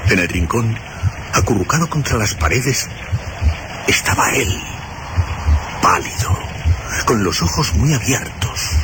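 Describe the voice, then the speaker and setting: An actor as the grave storyteller of a radio horror drama, narrating with deliberate, suspenseful phrasing and dramatic pauses. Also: male voice, fairly low pitch, sing-song, noisy background. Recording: radio drama with loud music behind.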